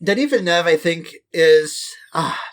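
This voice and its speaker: A man's voice: a little high, expressive, moderate pace. A man in his thirties from West Quebec, co-hosting a podcast and telling rambling, self-deprecating stories full of swearing. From West Quebec, Canada. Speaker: podcast co-host. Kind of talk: casual podcast chat.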